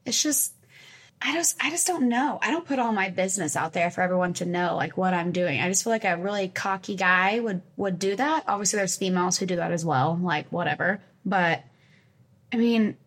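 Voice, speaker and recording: A young woman co-hosting a podcast, chatty and quick to agree. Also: on the low side, a bit bright. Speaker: podcast co-host. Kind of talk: casual chat.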